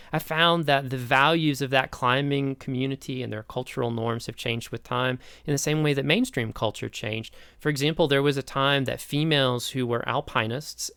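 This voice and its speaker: A man's voice: mid-range voice, gravelly, fairly bright tone, clean tone, dry recording. A man in his thirties from East Tennessee with a Midwestern American accent, giving a chatty, enthusiastic sociology lecture full of questions to the listener. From East Tennessee, Appalachia. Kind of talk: recorded online lecture.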